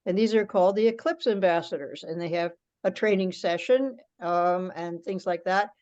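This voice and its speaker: A woman's voice: fairly low pitch, moderate pace, a little mellow. A woman giving an explanatory, conversational presentation over a teleconference. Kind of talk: informal spoken presentation on a teleconference. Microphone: teleconference call. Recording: dull lo-fi conference call audio.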